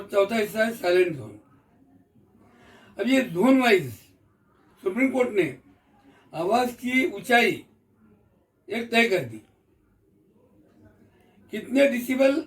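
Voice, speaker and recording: A man with an Indian accent giving an informal explanatory talk, methodical, repeating and rephrasing his points. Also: sits high. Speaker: speaker explaining court rules to the public. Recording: voice distant from the mic.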